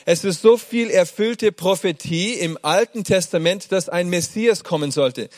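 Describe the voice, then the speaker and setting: A middle-aged man from Germany preaching to a congregation. His voice sits a little high, and he talks warmly, pausing often on fillers as he searches for words. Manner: warm, hesitant delivery with frequent fillers. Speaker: pastor. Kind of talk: sermon.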